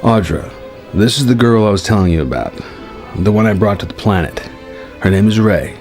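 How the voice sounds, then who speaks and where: A man's voice: fairly low pitch, lively intonation, normal speed, a little mellow, faint music behind. A man voicing a seasoned, wry Jedi master who mentors with assurance in a dramatized story reading. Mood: casual, wry.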